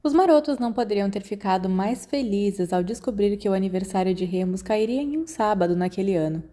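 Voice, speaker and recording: A woman's voice: mid-range voice, animated, fairly quick, mellow. A woman with a Brazilian accent reading fiction aloud in a scripted storytelling narration. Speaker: audiobook reader.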